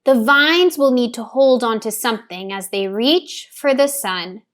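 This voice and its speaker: A woman reading a storybook, voicing a kindly, patient older man in a gentle, reassuring manner. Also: fairly high pitch, measured pace, lightly acted. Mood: instructive. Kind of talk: storybook read-aloud.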